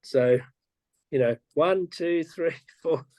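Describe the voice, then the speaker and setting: A male teacher talks slowly and patiently over a video call, circling back and repeating himself as he explains. The sound is dry and close, with no room around it. His tone is casual and easygoing. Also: on the high side, a little mellow, no room sound. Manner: patient, slow, with frequent restarts and repeats. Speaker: teacher being interviewed. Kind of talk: casual explaining in an online interview.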